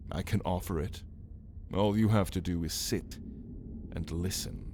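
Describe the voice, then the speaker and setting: A man's voice: deep voice, unhurried, darkish tone, a little hiss or hum. A man playing a brooding, menacing caged vampire in an ASMR roleplay, speaking in a theatrical, taunting way with archaic wording. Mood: sly, persuasive. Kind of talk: ASMR roleplay, dramatic monologue to listener.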